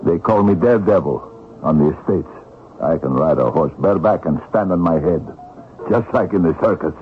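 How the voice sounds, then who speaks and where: Through thin old radio sound comes a brash, penniless Russian vagrant. His deep voice swings widely up and down as he grumbles, wheedles and hems and haws. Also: lively intonation, moderate pace, slight background noise. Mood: wheedling, boastful. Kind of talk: old-time radio drama acting. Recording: narrow, lo-fi old radio broadcast.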